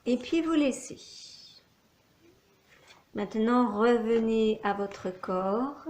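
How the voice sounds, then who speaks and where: A woman teaching yoga nidra, giving calm, measured relaxation instructions with long pauses. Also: a little high. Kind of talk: guided relaxation meditation. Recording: roomy echo, faint background noise.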